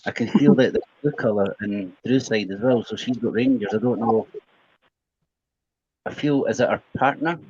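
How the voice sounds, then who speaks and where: A man with a husky, breathy voice offers tentative psychic impressions in casual chat. The recording is dull and lo-fi. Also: medium pitch, moderate pace, darkish tone, dry recording. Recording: dull lo-fi audio.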